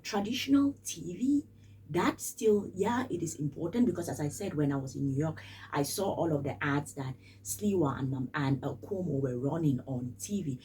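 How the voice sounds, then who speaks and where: A woman's voice: low voice, darkish tone, husky, far from the mic, low-quality recording. A woman from Cameroon, giving casual spoken commentary in an emphatic way and repeating phrases for stress. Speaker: vlogger.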